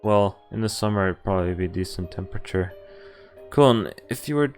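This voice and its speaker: A man's voice: lowish voice, lively intonation, fairly quick. A man on a live game stream, reading visual novel text aloud and reacting casually in an understated way. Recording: game music playing behind. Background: video game music.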